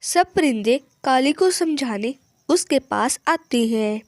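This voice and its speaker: A woman reads a children's story in a high voice. She takes it slowly and the sound is dry and close, in the manner of a scripted animal tale. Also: animated, no echo. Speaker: narrator.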